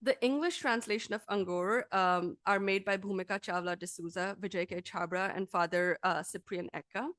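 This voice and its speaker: A woman lecturer reading a scripted academic talk aloud in a formal, measured, articulate manner. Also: mid-range voice, fairly even intonation, fairly bright tone, no room sound, clean studio sound.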